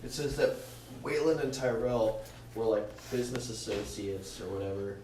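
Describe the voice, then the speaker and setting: Man, lowish voice, podcast host, argumentative film-fan chat, sweary, noisy echoey recording. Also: moderate pace. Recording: echoey room with clear background noise.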